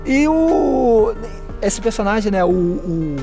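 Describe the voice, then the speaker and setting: Guest: Brazilian man, highish voice, rambling storyteller who chuckles, light music in back. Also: very animated, takes it slowly, dark tone. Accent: Brazilian Portuguese. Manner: meandering, searching for words, chuckling. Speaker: guest, fellow tabletop player. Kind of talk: casual storytelling. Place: stairwell of an apartment building.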